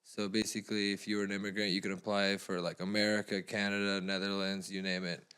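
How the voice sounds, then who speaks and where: A man's voice: on the low side, level tone, fast, sharp tone, smooth. A man in his thirties from Afghanistan, a comedian guest on a podcast panel, telling stories in a laid-back, joking way. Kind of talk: casual panel chat.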